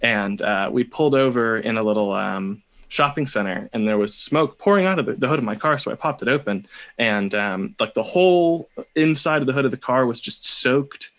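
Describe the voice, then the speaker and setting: American man, young adult, medium pitch, halting casual storytelling, podcast guest. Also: lively intonation, fairly bright tone. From United States, California.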